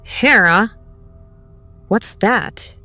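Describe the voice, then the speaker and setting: A woman's voice: mid-range voice, very slow, pushed and bright, no room sound, faint music behind. A woman acting in an audio drama as a practical young spellcaster among a band of survivors. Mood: questioning.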